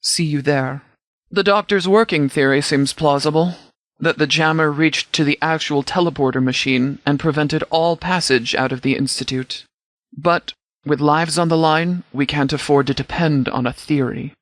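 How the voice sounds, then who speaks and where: Man playing a game sheriff's commander, slightly high male voice, formal, precise, measured, no-nonsense, very dry recording. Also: a bit bright, dry recording, natural voice. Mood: composed, authoritative. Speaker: commanding officer character in a video game. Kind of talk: scripted game dialogue, mission briefing.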